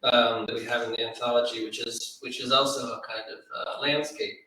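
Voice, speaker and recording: Bright-voiced man in a very echoey room. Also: medium pitch, little pitch movement, fairly quick. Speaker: book editor and panelist. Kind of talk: informal literary discussion. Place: indoor event room with audience. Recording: very echoey room, distant mic, faint noise.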